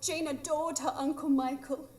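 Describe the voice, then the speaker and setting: A woman's voice: sits high, steady tone, normal speed, darkish tone, natural voice. A woman playing a fierce, determined and protective mother in a live radio drama. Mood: pleading. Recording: noisy live stage recording.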